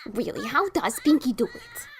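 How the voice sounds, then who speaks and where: A woman's voice: high-pitched, very animated, moderate pace, slight background noise. A woman playing an anxious, motherly cartoon pony wife in a fan audio drama, fretful and stubborn. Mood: weary grumbling.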